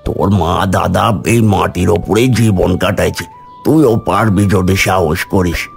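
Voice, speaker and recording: A man voicing an earnest, caring older village father who persuades gently in a cartoon story. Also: deep voice, a little slow, gravelly, faint music behind. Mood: earnest persuasion.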